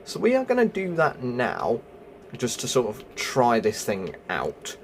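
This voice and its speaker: A man's voice: mid-range voice, huge pitch swings, measured pace, vocal fry, slight background noise. A man giving casual walkthrough commentary as the host of a gaming video. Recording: music behind the voice.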